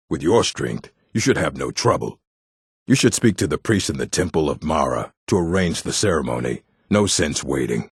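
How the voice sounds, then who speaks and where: A man voicing a gruff, proud orc warrior in a fantasy video game, with a gravelly, husky voice and a terse, blunt delivery. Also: extremely low pitch, no room sound, clean studio sound, heavily acted.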